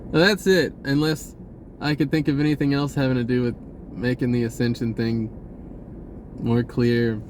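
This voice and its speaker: Halting, rambling man over clear background noise. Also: mid-range voice, steady tone. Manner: halting, self-interrupting, informal. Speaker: spirituality vlogger. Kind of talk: casual rambling spiritual monologue.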